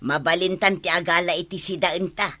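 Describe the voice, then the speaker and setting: A woman's voice: fairly low pitch, fairly even intonation, a little fast, bright, forceful tone. A woman with an Ilocano accent acting a grateful, practical village woman in a radio drama.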